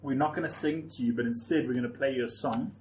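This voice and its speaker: A man's voice: mid-range voice, fast, darkish tone, slight background noise. A man hosting a casual radio show, chatting with his guests in the studio. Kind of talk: casual chat.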